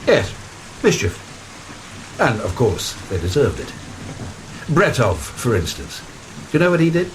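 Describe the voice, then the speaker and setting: An old vampire lord, deep-ish and very animated, mocking and commanding, slow deliberate horror radio play, stormy noise behind. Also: male voice. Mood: sardonic. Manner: theatrical, sardonic, deliberate. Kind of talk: gothic horror audio drama acting. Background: rain and thunder.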